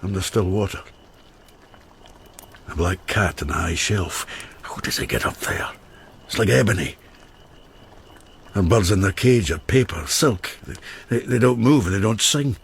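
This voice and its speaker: A man playing an earthy, haunted widower in a radio drama, an expressive teller who halts and repeats himself. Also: sits low, breathy edge, heavily acted. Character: haunted, earthy widower who cooks and once went mad.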